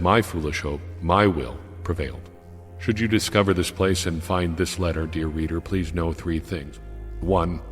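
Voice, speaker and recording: Male actor as guilt-ridden devout letter writer in fantasy audiodrama; very low, solemn, archaic delivery, cavernous reverb, music beneath. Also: close to an everyday voice. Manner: formal, archaic, penitent. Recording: long hall reverb over music.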